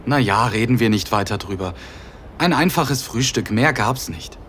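A man's voice: medium pitch, expressive, normal speed, fairly bright tone, natural voice. Brief: male actor as a reserved businessman, hesitant and a bit awkward, ordinary mid voice, faint noise under the audio drama. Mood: dry, reluctant.

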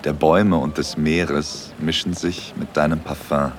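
A man's voice: rumbling low, flat delivery, unhurried, slight background noise. An actor playing a dreamy, shy young man in an intimate first-person audio drama. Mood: dreamy, tender.

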